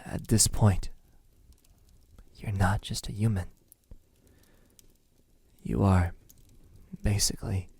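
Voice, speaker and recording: A lovesick, ancient male vampire, played by a man, murmurs in a husky, breathy voice. He speaks very slowly and haltingly, slipping into whispers. The tone is dark and velvety, gentle yet desperate and possessive, in an intimate ASMR roleplay. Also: lowish voice, exaggerated voice. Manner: slow, hesitant, halting, tender.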